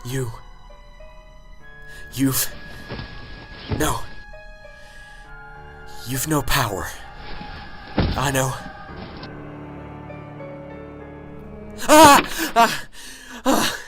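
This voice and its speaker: A man plays a nervous, brooding professor in a homemade radio play. He mutters to himself in slow, hesitant phrases that often stumble. The pitch moves around a lot, and music sits behind the voice. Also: wildly swooping pitch, fairly bright tone, heavily acted. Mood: panicked, shaken. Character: nervous, unsure, bookish male professor, anxious and brooding. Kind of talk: amateur radio drama acting.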